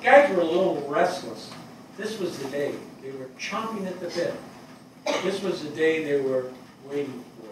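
An older man acting a grieving, earnest, plainspoken veteran fire captain in a halting stage reading. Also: on the high side, expressive, natural voice. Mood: somber. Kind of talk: dramatic stage reading of a play. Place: small theatre stage before seated audience. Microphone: distant camera microphone. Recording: echoey hall, distant mic, clear noise.

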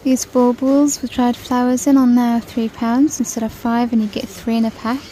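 Woman, casual shop vlog voice, slightly high, flat delivery, mellow tone; faint store hum behind. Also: normal speed, poor audio. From United Kingdom. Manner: chatty, listing prices while browsing. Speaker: shopping vlogger. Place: large store aisle, indoors. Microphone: handheld phone camera.

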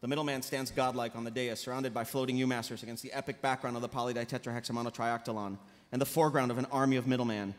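A man's voice: mid-range voice, fairly even intonation, rapid-fire. A man reading dramatic stage directions aloud at a live table read in a convention hall. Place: large indoor convention panel room. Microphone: table microphone. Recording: noisy echoey convention hall panel.

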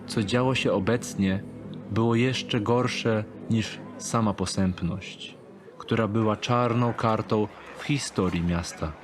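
A man narrating a scripted dark thriller audiobook in measured, deliberate phrases. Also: lowish voice, background noise.

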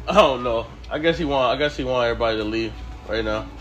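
Male prankster as store employee, casual and courteous, medium pitch, music blaring underneath. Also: fast, highs cut off. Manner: polite, addresses people as sir. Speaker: prankster playing a store worker. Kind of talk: casual chat in a hidden-camera prank. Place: large retail store, indoors. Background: loud music.

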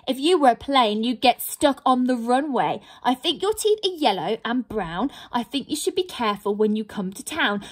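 A woman voicing a small, frightened female pixie who blurts out the truth in a high, squeaky voice. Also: slightly rough.

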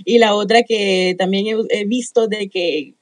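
A woman's voice: medium pitch, fairly even intonation, unhurried. Hesitant young woman in a radio studio. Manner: hesitant, many fillers, laughs easily. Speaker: radio moderator. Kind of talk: panel discussion. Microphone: studio microphone.

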